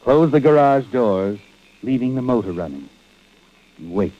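A man's voice: mid-range voice, animated, a little slow, natural voice. An actor playing a sly, knowing narrator of a vintage radio suspense show, insinuating as he addresses a guilty man. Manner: insinuating, taunting rhetorical questions. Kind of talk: 1940s-50s radio suspense narration in second person. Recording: dull vintage radio recording with music.

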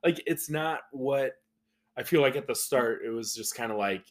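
A man's voice: medium pitch, moderate pace. An American man of thirty-three chatting casually as a podcast host, rambling informally and saying like often. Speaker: podcast host and rugby player.

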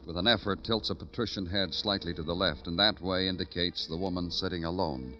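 An actor playing a terse, world-weary police detective in an old radio crime drama, with clipped, dry delivery. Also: male voice, low voice, a little fast, pushed and bright, slight background noise. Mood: brooding narration. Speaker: lead actor and narrator. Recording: dull old radio recording with music.

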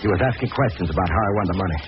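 Very noisy, muffled vintage radio audio carries a tough gambling-den crook. He has a low voice and talks in a curt, suspicious way, as in a 1940s crime drama. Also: animated, racing. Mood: hard, conspiring.

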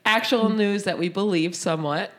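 A woman co-hosting a casual fantasy football podcast, raspy from a cold, who laughs easily and teases her co-host. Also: medium pitch, moderate pace, a bit bright.

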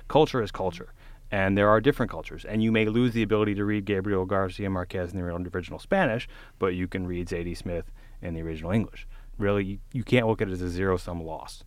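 A man from the southern US hosting a podcast chat, making his points briskly and argumentatively. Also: low-pitched, steady tone, no echo. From southern USA. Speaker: host.

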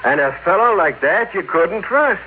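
Pushy suspicious businessman, animated intonation, accusing manner, vintage radio drama, tinny narrow sound. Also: sits high, moderate pace. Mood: self-righteous. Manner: insistent, accusing. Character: suspicious, self-righteous businessman, the narrator's brother. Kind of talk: old-time radio drama acting. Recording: tinny, very noisy old radio recording.

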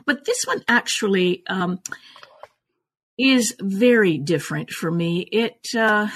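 Gravelly older woman, halting and reflective. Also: on the low side, fairly bright tone. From born in Italy, raised in Canada. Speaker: guest, folklorist and oral historian. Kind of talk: reflective interview answers.

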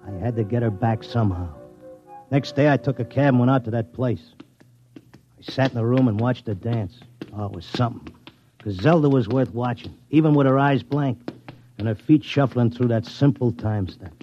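A man acts out a lovesick, determined racetrack handicapper from New York. He talks in colloquial tough-guy slang at a middling pitch. The sound is an old, muffled radio drama with some music behind. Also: moderate pace, mellow, lightly acted. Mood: wistful. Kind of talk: 1950s radio drama acting, first-person narration and dialogue. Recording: dull vintage radio recording, music behind.

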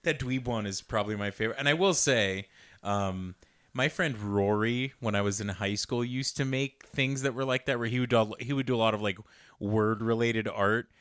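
A man's voice: lowish voice, normal speed, fairly bright tone, clear, resonant tone, no echo, studio quality. A man co-hosting a podcast in casual chat, laid-back and agreeable, often answering in short replies. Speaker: podcast co-host.

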